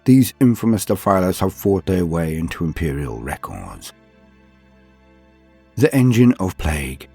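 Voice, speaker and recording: A man's voice: on the low side, darkish tone, slightly rough. A man reading scripted lore narration in a solemn, dramatic manner. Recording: music behind the voice. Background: background music.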